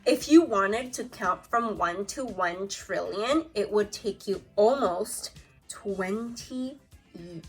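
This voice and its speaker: A woman's voice: medium pitch, expressive, takes it slowly, faint music behind. A woman teaching young children through a read-aloud lesson, reading from a book and prompting pupils with questions and short instructions. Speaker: second-grade teacher.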